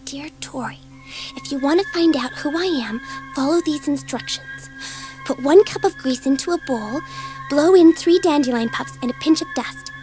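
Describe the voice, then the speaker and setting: Woman as an excitable, high-voiced schoolgirl. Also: normal speed, over music, close to an everyday voice, poor audio. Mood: reading aloud. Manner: breathless, eager, self-dramatising. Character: bored, impulsive, excitable schoolgirl dabbling in magic. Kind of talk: dramatised children's audiobook.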